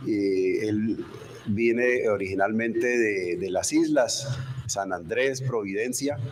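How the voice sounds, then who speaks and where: A man with a somewhat low voice formally introduces a guest speaker. He talks slowly and stops often to fill pauses. A faint hum of the room sits behind him. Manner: halting, with many filler pauses. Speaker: seminary host introducing the speaker.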